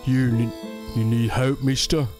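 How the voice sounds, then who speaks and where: A man playing a huge, slow-witted, polite and childlike helper in an audio drama, speaking in halting broken sentences. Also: medium pitch, flat delivery, music in the background, close to an everyday voice. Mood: timid, polite. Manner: halting, simple broken speech.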